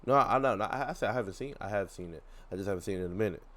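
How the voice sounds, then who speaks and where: A man's voice: fairly low pitch, rapid-fire, a bit bright. A man giving a casual, rambling gaming reaction, full of slang and repeating himself as he goes. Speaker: gaming YouTuber reacting to a video. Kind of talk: casual live reaction commentary.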